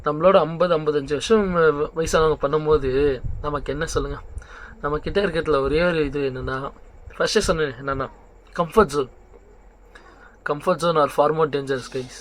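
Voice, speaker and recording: A man's voice: a little high, steady tone, normal speed, pauses often, a little hiss or hum. A young man giving informal motivational advice as a vlog host, conversational and mixing in English phrases, often checking in with the listener. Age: young adult. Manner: conversational, checks in with rhetorical questions.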